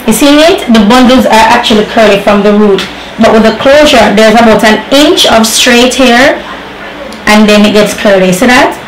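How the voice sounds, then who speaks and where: A woman's voice: fairly high pitch, normal speed. A young woman from Jamaica talking casually to camera as a beauty vlogger, rambling with fillers and restarts. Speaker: beauty vlogger reviewing hair. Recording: echoey room with faint noise.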